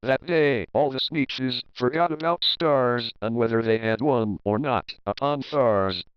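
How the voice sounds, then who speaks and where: A man reading a rhyming picture book aloud in an expressive, theatrical storytelling manner. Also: mid-range voice, a little slow, smooth, dry recording, highs cut off. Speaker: children's story reader, host. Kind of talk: rhymed picture-book narration.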